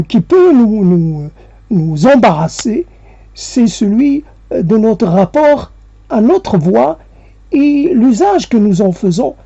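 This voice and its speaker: Hesitant reflective woman, slow and gravelly, with a lively higher pitch and a dull lo-fi sound. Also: no echo. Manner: hesitant, searching for words with frequent pauses. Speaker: speaker addressing listeners. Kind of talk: reflective spoken monologue. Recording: dull lo-fi recording.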